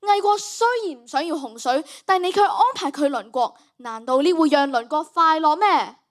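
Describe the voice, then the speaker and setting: A woman's voice: high voice, animated, moderate pace. A girl with a Hong Kong Cantonese accent playing a solemn, reproving male philosopher in a radio drama. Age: child, primary school student. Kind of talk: school radio drama acting.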